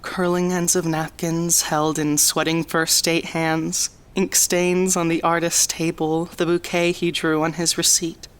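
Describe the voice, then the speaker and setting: A performer in an audio drama reading a spoken-word poem in a measured, quiet manner. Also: medium pitch, clear, resonant tone. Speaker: poetry reader in audio drama.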